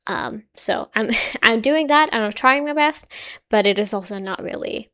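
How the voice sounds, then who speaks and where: A young adult woman whose native language is Swedish, hosting a reaction video in casual, musing commentary that often trails off quietly. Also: on the high side, moderate pace. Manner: musing, hedging, soft-spoken with frequent quiet trailing asides.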